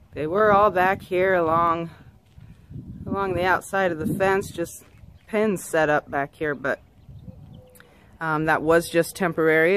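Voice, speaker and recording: A woman in her thirties giving a casual outdoor tour of her goat homestead, chatty with frequent ums and restarts, filmed on a handheld camera. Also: on the low side. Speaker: goat farmer and channel host. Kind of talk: casual farm tour vlog. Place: outdoors on a dry rural homestead. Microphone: camera held at arm's length, then walking behind it.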